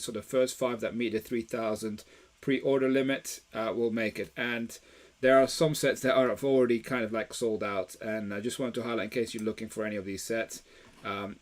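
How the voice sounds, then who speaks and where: A man from Belgium hosts a casual live stream, walking viewers through deals in an easygoing, unscripted way. His voice sits at an ordinary middle pitch, and he often pauses on fillers and restarts his sentences. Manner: chatty, many fillers and restarts.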